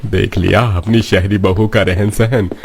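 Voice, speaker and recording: A man's voice: lowish voice, steady tone, measured pace, gravelly, slight background noise. A man playing an elderly, plain-spoken and opinionated father-in-law in a cartoon village story. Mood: persuading. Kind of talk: cartoon moral story dialogue.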